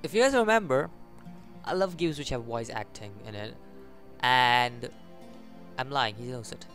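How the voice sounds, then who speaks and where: Casting: male gaming host, mid-pitched, casual and jokey, narrating a playthrough over faint music. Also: measured pace, a bit bright. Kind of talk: casual playthrough commentary mixed with reading game text aloud. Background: video game music.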